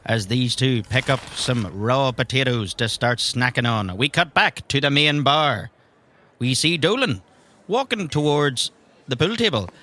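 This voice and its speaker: A man's voice: medium pitch, expressive, unhurried, pushed and bright, no room sound. A man narrating an improvised tabletop game as game master, conversational and wry.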